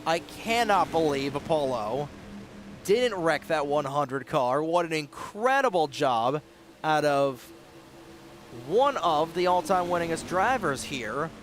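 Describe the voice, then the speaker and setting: A high-pitched man calls a sim race with eager play-by-play, his voice a little raspy. Race car engines hum clearly behind him, and his tone jumps at the close calls. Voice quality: slightly raspy. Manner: excitable, fast-moving play-by-play with sudden rises in pitch at close calls. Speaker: race commentator. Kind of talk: sim racing play-by-play commentary. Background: race car engine noise.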